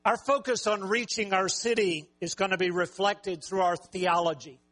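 A man preaching a sermon with a raspy voice, talking conversationally and joking with the congregation. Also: high-pitched, moderate pace, fairly bright tone. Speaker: pastor.

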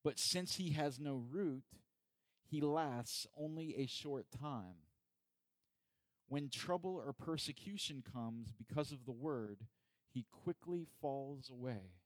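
A man's voice: medium pitch, no echo. Pastor, a man preaching. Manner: conversational, joking asides to the congregation; slow, deliberate scripture reading. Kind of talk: sermon with scripture reading.

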